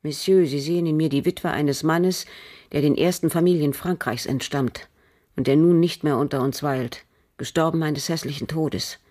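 An actress playing a bitter, cold aristocratic French widow in a radio crime drama, speaking formally and guardedly with a husky, breathy voice. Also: female voice, sits low, fairly even intonation, dark tone. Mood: bitter, sorrowful. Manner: measured, guarded, formal, with pauses. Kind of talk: German radio drama acting.

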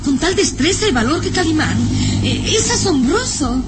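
Radio serial female companion: extremely high voice, swooping melodrama, awestruck and fearful. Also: sing-song, moderate pace, heavy background noise. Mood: amazed. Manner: breathless, exclamatory, melodramatic. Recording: old radio serial, music behind.

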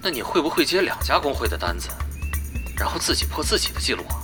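A man's voice: mid-range voice, big pitch swings, fast, natural voice. A man playing an inquisitive online guild player in an audio drama. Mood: probing. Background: music.

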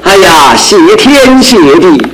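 An actor playing a jovial, easily flustered young bachelor, exclaiming theatrically in an old-fashioned radio drama. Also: male voice, high-pitched, big pitch swings, slow, roomy sound, a loud crowd, exaggerated voice, poor audio.

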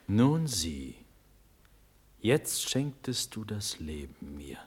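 A husky, impassioned young prince. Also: male voice, lowish voice, sing-song, extremely slow, exaggerated voice. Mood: exalted. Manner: declamatory, swinging from hushed to shouting. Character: impassioned young condemned prince, proud and fervent. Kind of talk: classical German radio drama acting in verse.

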